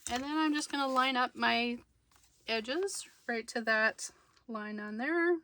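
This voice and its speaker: A woman's voice: fairly high pitch, takes it slowly, sharp tone, slight background noise. A woman narrating a hands-on craft tutorial casually, thinking aloud as she works. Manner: informal, thinking aloud while working.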